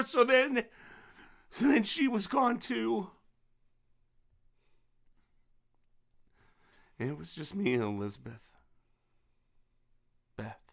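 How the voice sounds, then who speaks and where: A man acting in an audio drama as a menacing, grieving middle-aged father protecting his daughter, speaking with halting intensity. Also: high-pitched, creaky, pushed and bright, full character voice. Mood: anguished. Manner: intense, halting, with repeated words.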